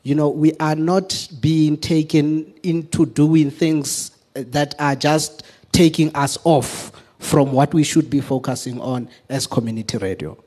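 A man's voice: fairly high pitch, steady tone, measured pace, a little mellow. A man giving an impromptu answer at a public discussion, hesitant, often restarting and searching for words. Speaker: forum participant.

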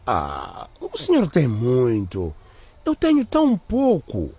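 Greedy grovelling dad in a radio drama, husky, pitch jumping all over. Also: male voice, huge pitch swings, takes it slowly, mellow, no echo, full character voice. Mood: wheedling. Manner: obsequious, pleading, hesitant. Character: wheedling, greedy father blackmailing a rich man.

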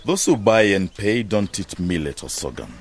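A man's voice: on the low side, sing-song, moderate pace, breathy edge. An actor playing a proud, hard-headed farmer and businessman in a radio drama, self-assured and matter-of-fact. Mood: dismissive.

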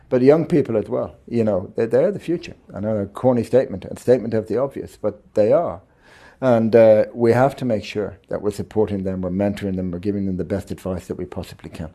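Irish male bank executive, 50s, gravelly, measured interview answers. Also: lowish voice, mellow. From Ireland. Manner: measured, restarts phrases while thinking. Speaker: bank managing director. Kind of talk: interview conversation.

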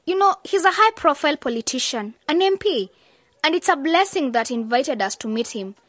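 A woman's voice: high-pitched, lively intonation, a little fast, a bit bright, no echo. An actress playing an eager, image-conscious young woman in a radio drama. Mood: earnest.

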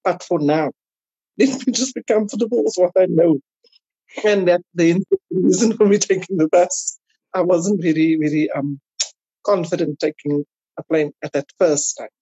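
A South African woman chatting casually as an interview guest, telling personal stories in an animated way. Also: lowish voice, normal speed, husky, no echo, rough recording. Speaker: podcast guest.

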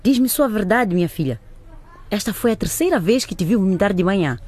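A woman's voice: mid-range voice, lively intonation, fairly quick, a little mellow, husky. An actress playing a stern, suspicious mother who interrogates and scolds her children in a radio drama. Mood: probing. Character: stern, suspicious mother of teenagers, quick to anger.

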